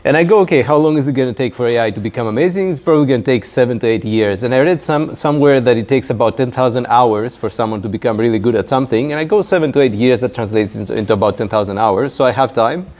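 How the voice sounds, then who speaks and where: A man's voice: medium pitch, quick pace, few pauses, darkish tone. A man from Greece with a Greek accent talks casually as a guest in a chat, with a wry, self-deprecating manner. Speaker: guest, startup founder. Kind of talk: casual fireside chat interview. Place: community tech workspace, live event. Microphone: handheld microphone. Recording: dull, muffled sound with background noise.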